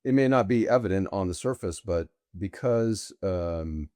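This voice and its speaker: Deep-voiced middle-aged man, mellow. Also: expressive, no room sound, clean studio sound. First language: bilingual with Portuguese. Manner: long winding sentences, deliberate. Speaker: guest, sales executive. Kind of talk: conversational interview answers.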